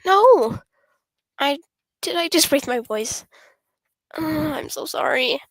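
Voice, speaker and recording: A woman's voice: high-pitched, expressive, slow, dry recording. A woman voicing a shy, apologetic young woman from a literature club in a visual novel game, with a hesitant, stammering delivery. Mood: flustered, apologetic.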